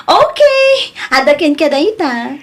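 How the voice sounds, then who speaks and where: Coy young woman, played by an actress, high voice, lively swings, evasive, radio comedy drama. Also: takes it slowly, exaggerated voice. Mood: coy, wary. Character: evasive young woman hiding an affair, teasing then flustered.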